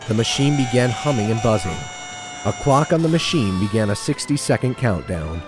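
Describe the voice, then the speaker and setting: A man's voice: medium pitch, animated, a little fast, music in the background. A man narrating an action story as a dramatic, scripted storyteller.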